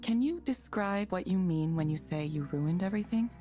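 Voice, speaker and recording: A woman plays a neutral computer counsellor in a visual novel. The voice is soft-edged and on the low side, measured and soothing, with faint music underneath. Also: animated, close to an everyday voice. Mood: calm, neutral. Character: calm, neutral AI counselling program prompting gently.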